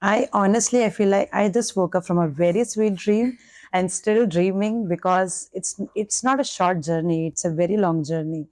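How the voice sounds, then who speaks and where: A woman's voice: medium pitch, moderate pace, a little mellow, no room sound. Candid young Indian woman, dry studio sound. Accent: Indian English. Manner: candid, switches between English and Hindi. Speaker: nursing candidate, guest. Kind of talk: podcast interview answers.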